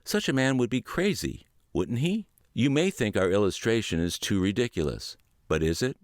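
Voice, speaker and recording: Male audiobook reader, lowish voice, lively earnest phrasing, dry close mic. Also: normal speed, no echo. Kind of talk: word-for-word book reading.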